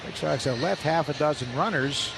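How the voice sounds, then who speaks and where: Radio baseball announcer with crowd noise. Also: male voice, vocal fry. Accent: American. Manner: measured, rises in pitch on big plays. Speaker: play-by-play announcer.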